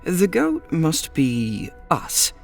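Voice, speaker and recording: A curious doubter in an audio drama. His delivery is slow but very expressive in pitch, wry and casually questioning, with music faintly behind. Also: vocal fry, heavily acted. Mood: puzzled, wry. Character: curious, skeptical, wry male seeker questioning a mystic.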